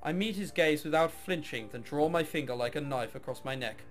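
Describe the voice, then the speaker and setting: A man's voice: a little high, brisk, soft background music. A man reading a visual novel aloud, mixing dramatic narration with casual, offhand commentary. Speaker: video game let's player.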